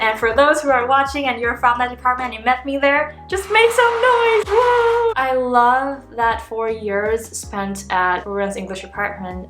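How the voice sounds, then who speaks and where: A young woman from Taiwan, a native Mandarin speaker, talks to her camera in a loose, thoughtful way, often restarting her sentences and hedging with fillers. Her voice sits at an ordinary middle pitch. The room gives it a noticeable echo, and soft music plays faintly underneath. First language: Mandarin Chinese. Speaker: graduate student vlogger. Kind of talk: casual talk to camera.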